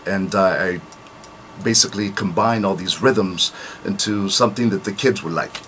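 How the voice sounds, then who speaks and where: A man with a low but bright, forceful voice talks about his music as a radio guest. He is laid back and hesitant, filling gaps with many uhs. Faint hiss lies under the studio sound. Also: moderate pace. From United States. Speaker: rapper and producer. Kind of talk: radio interview chat.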